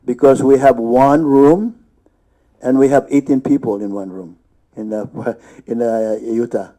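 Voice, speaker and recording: A man's voice: mid-range voice, a little slow, dark tone. A man in his fifties, an actor and festival co-founder, speaking casually on a film festival panel with many fillers and frequent chuckles. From born in Hawaii, raised in the Philippines. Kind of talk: panel discussion, casual storytelling.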